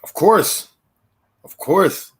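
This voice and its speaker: A man in his thirties from Philadelphia, telling his life story in a relaxed, chatty way as an interview guest. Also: extremely slow. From Philadelphia, USA. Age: 30s. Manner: relaxed, chatty, with fillers and laughs. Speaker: interview guest, actor and performer.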